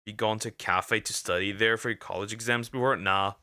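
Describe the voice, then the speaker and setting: A man's voice: on the low side, fairly even intonation, brisk, pushed and bright, smooth. A man streaming as a vtuber and reacting to clips, blunt and emphatic, swearing and repeating his objections. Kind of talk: casual reaction commentary.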